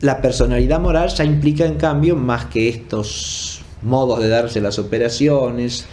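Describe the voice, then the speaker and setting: Male lecturer, medium pitch, deliberate explanatory delivery, frequent tag questions, some background noise. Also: steady tone, a little mellow. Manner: deliberate, often seeking agreement with tag questions. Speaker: philosophy lecturer. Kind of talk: explanatory academic lecture, spoken off the cuff.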